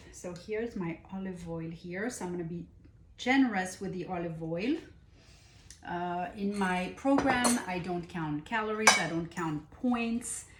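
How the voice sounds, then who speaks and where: Slow-talking French woman cooking in an echoey kitchen. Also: on the low side, heavy background noise. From France. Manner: unhurried and enthusiastic, with many pauses and fillers. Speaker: cooking show host and nutrition coach. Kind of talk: casual cooking demonstration.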